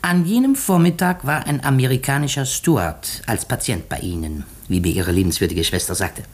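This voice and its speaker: An actor playing a shrewd, methodical detective in a 1950s radio play, explaining deliberately with dramatic pauses. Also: male voice, mid-range voice, lively intonation, darkish tone, slightly rough. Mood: calm, certain. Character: shrewd, methodical male detective unmasking a murderer.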